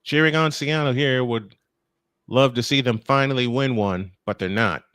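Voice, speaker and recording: A man's voice: medium pitch, normal speed, fairly bright tone, clear, resonant tone, no echo, clean studio sound. A man calling a baseball game live as play-by-play host, slipping between commentary and casual chat.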